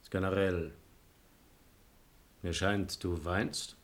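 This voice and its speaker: A man's voice: low-pitched, steady tone, takes it slowly. A man playing an arrogant, heartless libertine nobleman in a 1950s radio play, mocking and imperious. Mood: quietly mocking. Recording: echoey 1950s radio studio.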